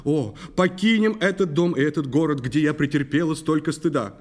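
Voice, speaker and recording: A man's voice: fairly high pitch, moderate pace, close to an everyday voice. A man voicing a haughty, furious young noblewoman in a verse audio play, indignant and accusing.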